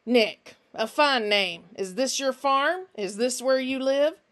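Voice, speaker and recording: A woman voicing a large, menacing stranger who puts on a false friendliness and talks loudly and mockingly. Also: high-pitched, expressive, slow pace, pitched above the performer's own voice, heavily acted. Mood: coaxing. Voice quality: intelligent but unfriendly voice. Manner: loud, projecting, mocking.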